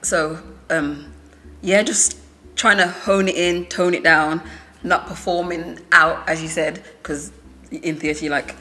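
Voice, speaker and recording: Woman, actor, low-pitched, thoughtful, hesitant phrasing. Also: steady tone, slow, sharp tone. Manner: thoughtful, searching for words. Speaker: actor guest. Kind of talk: casual panel chat.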